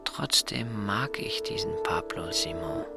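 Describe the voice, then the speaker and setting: An actor playing an observant, solitary man reciting his private notes in a radio drama, in a measured, matter-of-fact way. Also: lowish voice, lively intonation, gravelly. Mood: disappointed. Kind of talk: scripted radio drama monologue. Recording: prominent music under the voice.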